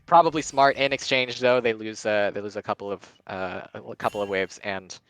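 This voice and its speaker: A man's voice: on the low side, steady tone, moderate pace, fairly bright tone, a little hiss or hum, rough recording. A man giving live play-by-play commentary on a video game match, mixed with casual chat.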